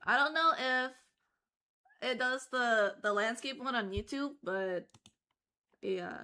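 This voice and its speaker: A woman streamer chats while she draws, her voice hoarse and worn out, yet still bright and a little high. She talks slowly and rambles, stopping and restarting her sentences and filling the gaps with ums. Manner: rambling with many fillers and restarts. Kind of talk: casual chat while drawing on stream.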